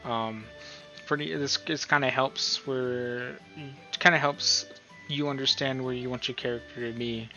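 Guy doing a laid-out-as-he-goes tutorial, bright forward voice, kinda hesitant, lo-fi mic w music behind. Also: medium pitch, fairly even intonation, a little slow. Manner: rambling, hesitant, self-deprecating. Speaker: tutorial host. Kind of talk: casual unscripted tutorial narration.

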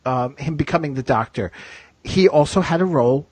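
A man's voice: a little high, fairly quick, darkish tone, husky, no room sound. A man hosting a casual fan discussion, circling around his questions with asides. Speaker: host of a TV fan discussion show. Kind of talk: casual chat.

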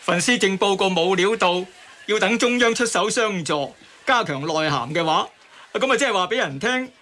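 A man's voice: high voice, animated, moderate pace, bright, forceful tone. A man playing a skeptical, blunt character who makes pointed rhetorical jabs in a radio drama. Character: skeptical, needling taxpayer with blunt logic.

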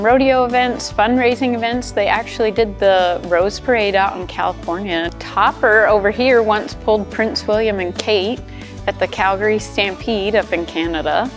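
A woman guide at a horse ranch, giving friendly, informative tour talk. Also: medium pitch.

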